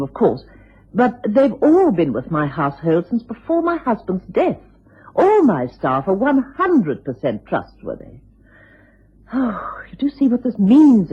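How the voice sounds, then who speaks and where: A muffled, rough-edged old radio drama recording of an aristocratic English widow. Her upper-class voice is genteel and formal, swinging expressively with theatrical dignity. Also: medium pitch. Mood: distressed.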